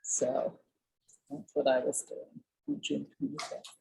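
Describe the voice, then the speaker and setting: A woman artist presenting her work on a video call, enthusiastic, with big swings in pitch. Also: big pitch swings, takes it slowly, creaky, mellow, a little hiss or hum, rough recording.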